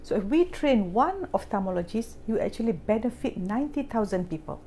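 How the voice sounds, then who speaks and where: A woman's voice: mid-range voice, expressive, a little fast, warm tone, a little hiss or hum. A woman ophthalmologist answering interview questions, reasoning through numbers as she explains.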